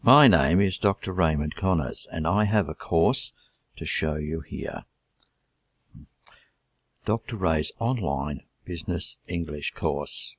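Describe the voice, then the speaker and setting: A middle-aged Australian man introducing his English course in a measured, deliberate teaching manner. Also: low-pitched, husky, dry recording, clean studio sound. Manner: measured, deliberate delivery with listed items.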